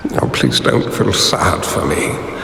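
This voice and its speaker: Slow, frail-hearted elderly sage. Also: male voice, bright, forceful tone, slight background noise. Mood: wistful, resigned. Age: older. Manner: measured, philosophical, halting. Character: dying elderly male strategist, wise, gentle, contemplative mentor. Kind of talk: dramatic video game voice acting. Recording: echoey reverberant hall, music behind.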